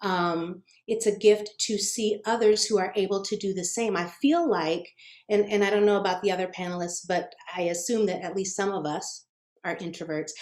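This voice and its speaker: A soft-spoken woman with a mid-range voice, talking in an introspective, gentle way. She pauses often with "um". Her voice bounces around an echoey room, over a video call. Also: medium pitch. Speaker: children's book author on a panel. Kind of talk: panel discussion.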